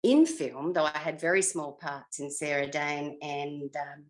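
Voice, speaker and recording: Woman, sixties-plus, slightly low register. Retired actress interviewee, reflective and conversational, musing as she goes. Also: unhurried. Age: 60s or older. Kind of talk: reflective casual interview chat.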